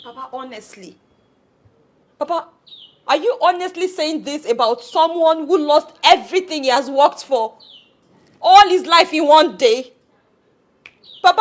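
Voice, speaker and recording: A woman's voice: high-pitched, a bit bright. An actress playing a distraught young woman, wailing and pleading. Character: distraught young woman, grieving fiancé's loss. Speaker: daughter.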